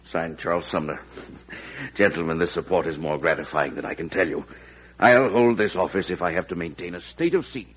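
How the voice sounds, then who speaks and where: A resolute, defiant government statesman for old-time radio. The voice is very deep and raspy. The broadcast recording is muffled. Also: normal speed, a bit bright. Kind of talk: classic radio drama acting.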